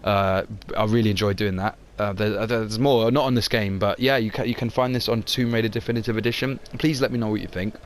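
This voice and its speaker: A man's voice: on the low side, steady tone, quick pace, few pauses, a little hiss or hum. A young man wrapping up his video in a casual, upbeat, rambling way with lots of fillers. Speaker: channel host. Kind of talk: casual outro to camera.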